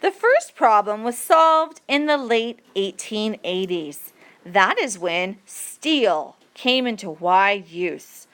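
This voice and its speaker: A woman reading an educational passage aloud as a teacher, with deliberate, measured phrasing for learners. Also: medium pitch, sing-song, a bit bright. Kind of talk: read-aloud educational narration.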